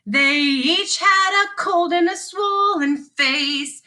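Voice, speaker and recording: Woman, children's storyteller. High, slow, sing-song delivery with deliberate repetition. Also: bright, forceful tone. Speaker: teacher reading aloud. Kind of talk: sing-song children's read-along narration.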